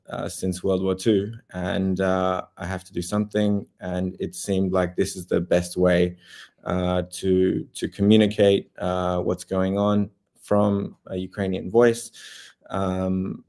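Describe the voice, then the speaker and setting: Low flat-voiced Australian man, halting. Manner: halting, many fillers and restarts. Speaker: journalist guest. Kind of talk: interview answers.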